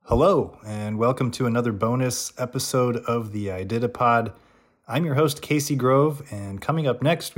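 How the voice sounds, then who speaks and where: A man hosting a podcast, introducing an interview in a relaxed, explanatory way. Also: medium pitch. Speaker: podcast host.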